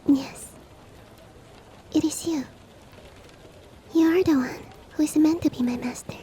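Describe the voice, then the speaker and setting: A woman playing a polite, devoted shapeshifting monster-girl maid in an ASMR roleplay, speaking softly and often in a whisper, with formal, gently earnest courtesy. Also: high voice, fairly even intonation, a little slow. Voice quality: soft, breathy, often whispered. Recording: faint ambient rain noise behind. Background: soft rain ambience.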